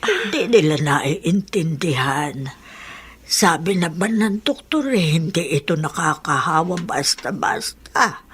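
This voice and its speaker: An actress playing a resigned, motherly older woman in a radio drama, soft-spoken and halting, with a Filipino accent. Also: sits low, expressive, slightly rough, exaggerated voice. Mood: hesitant. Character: older woman, healed leprosy patient, motherly, resigned, living alone. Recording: music under the voice.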